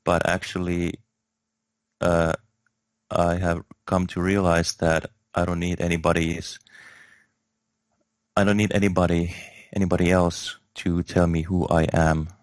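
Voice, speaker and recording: Very deep, flat-voiced man from Finland. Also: no room sound, clean studio sound. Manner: slow, hesitant, many fillers and restarts. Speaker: guest. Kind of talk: spiritual interview, reflective.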